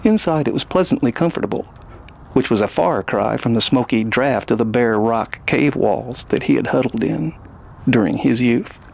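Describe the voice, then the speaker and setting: A man's voice: mid-range voice, lively intonation, normal speed, gravelly, darkish tone. A man hosting and narrating a scripted science fiction story. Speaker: host and narrator.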